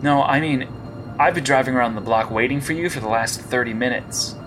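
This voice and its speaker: A man's voice: medium pitch, a little fast, music in the background, close to an everyday voice. An actor playing a persistent male investigator with a hero license, questioning and pressing in an audio drama. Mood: probing.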